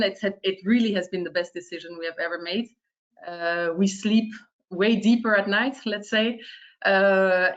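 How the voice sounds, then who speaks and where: A woman's voice: mid-range voice, fairly bright tone, muffled recording. A woman, introducing herself cheerfully on an online webinar. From France. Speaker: real estate panelist.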